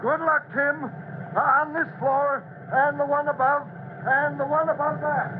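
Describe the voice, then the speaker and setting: A man playing a fatherly, authoritative deputy fire chief with a husky voice in a children's radio serial. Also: very high voice, little pitch movement, measured pace, background noise. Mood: tense, commanding. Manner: urgent, instructive, authoritative. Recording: thin, narrowband old radio recording.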